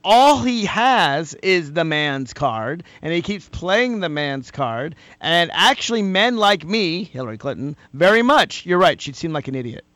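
A male radio talk show host with a loud voice, arguing politics in an animated, emphatic way, often repeating himself and laughing. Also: on the high side, a little slow, few pauses, no echo.

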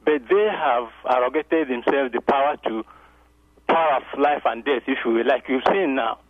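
This caller talks in halting bursts, full of ums and restarts, then pushes his point with rising insistence. His voice is dry and close, squeezed through a tinny phone line, at an ordinary middle pitch. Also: no room sound. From Nigeria. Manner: hesitant, many fillers, grows insistent. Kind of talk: radio call-in.

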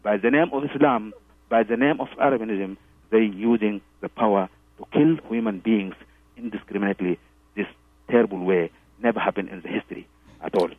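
A man's voice: lowish voice, a little fast, no room sound. A man calling into a radio show and speaking with emphatic indignation. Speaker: caller. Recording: phone line.

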